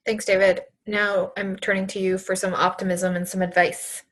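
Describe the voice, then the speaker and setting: A woman's voice: mid-range voice, little pitch movement, normal speed, a bit bright. A woman moderating a conference call, politely relaying questions and thanking the speakers.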